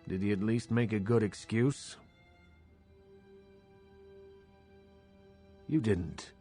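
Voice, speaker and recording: An actor playing a cool, knowing old vampire crime boss in a video game, speaking in measured, terse, wry phrases. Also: male voice, on the low side, darkish tone. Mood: businesslike, probing. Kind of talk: dramatic video game voice acting.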